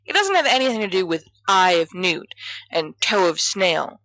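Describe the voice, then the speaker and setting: A woman's voice: on the low side, sing-song, moderate pace, fairly bright tone, no echo, low-quality recording. A woman voicing a boastful, theatrical teenage schoolboy who rambles grandly in an audiobook story. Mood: ranting.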